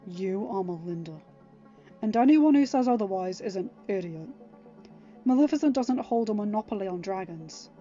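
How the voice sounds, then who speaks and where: A woman voicing an earnest, protective young king, speaking gently but emphatically to reassure someone in a story. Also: medium pitch, expressive, normal speed, dull audio, faint music behind, lightly acted. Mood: firm, reassuring.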